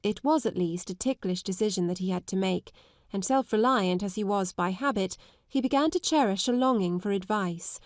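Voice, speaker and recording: A woman narrates classic fiction in an articulate, measured way. Her voice sits at a medium pitch, and the recording is dry, with no room sound. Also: no echo. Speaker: audiobook narrator. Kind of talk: scripted literary narration.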